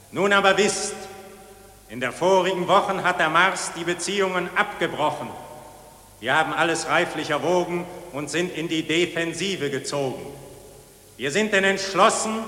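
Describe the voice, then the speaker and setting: A man's voice: sits high, sharp tone. A man acting a wrathful voice from Mars in a radio play, solemnly and relentlessly declaiming judgment in verse. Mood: solemn, menacing. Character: wrathful cosmic voice from Mars judging mankind. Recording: echoing, long cavernous reverb.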